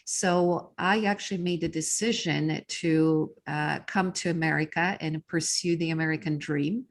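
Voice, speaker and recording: A woman's voice: sits low, steady tone, unhurried. A middle-aged woman from Poland with a Polish accent, a guest telling her story in a casual interview with many ums and uhs. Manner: frequent fillers, earnest storytelling. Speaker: podcast guest, business coach.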